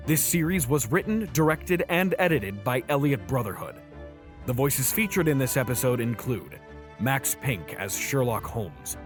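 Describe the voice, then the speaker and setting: A man reading scripted credits as the announcer of an audio drama. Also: medium pitch.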